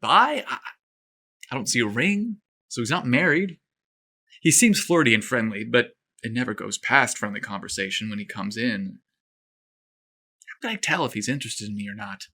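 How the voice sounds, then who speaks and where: A man's voice: mid-range voice, expressive, normal speed, long pauses, pushed and bright. A man voicing an anxious, eager young male golf pro in an audio story, fretting with questions. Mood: puzzled, pining. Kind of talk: audio story character acting.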